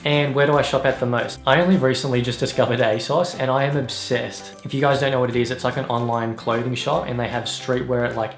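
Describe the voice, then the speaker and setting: Fast-talking young Australian man. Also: medium pitch, little pitch movement, roomy sound, faint music behind. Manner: chatty, upbeat, laughs often. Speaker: YouTube singer answering fan questions. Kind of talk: casual vlog Q&A.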